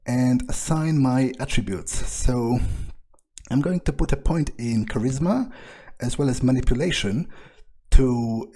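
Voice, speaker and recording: A man narrating a role-playing game playthrough in a measured, musing way, with hesitant pauses and drawn-out hums. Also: medium pitch, dark tone. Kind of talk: improvised character backstory narration. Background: background music.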